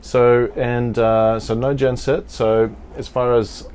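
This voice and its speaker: A man's voice: mid-range voice, little pitch movement, slow pace, darkish tone, clean tone. A man in his thirties interviewing a boat owner on board, asking short prompting questions and affirming the answers. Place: inside a catamaran saloon, docked.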